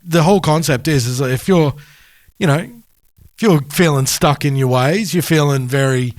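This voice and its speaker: A man's voice: on the high side, normal speed, no echo. Aussie guy podcast cohost, chatty and matey, really dry recording. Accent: Australian. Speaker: music podcast co-host. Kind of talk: casual chat.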